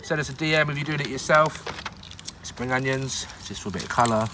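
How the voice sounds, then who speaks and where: A man's voice: medium pitch, brisk, pushed and bright, slight background noise. A man hosting a casual outdoor cooking show in the woods, talking through each step with plenty of jokes and laughter. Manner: chatty, jokey, laughs often.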